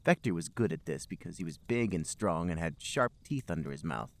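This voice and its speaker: A man with a fairly low voice reads comic fiction aloud as a podcast host. His delivery is emphatic and dramatic, with very animated pitch. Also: fairly quick. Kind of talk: comic read-aloud narration of amateur fiction.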